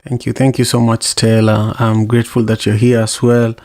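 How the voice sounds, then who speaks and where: Flat gravelly male host. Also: mid-range voice, dry recording, clean studio sound. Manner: halting, repeats words, many uhs. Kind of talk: moderating an online discussion.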